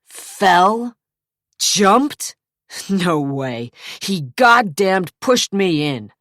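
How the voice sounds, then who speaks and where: An injured younger brother who shouts and accuses in a rage, as a woman acts him out in an audiobook. The voice is low and slow. The recording is close and dry. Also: sing-song, no echo, full character voice. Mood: furious.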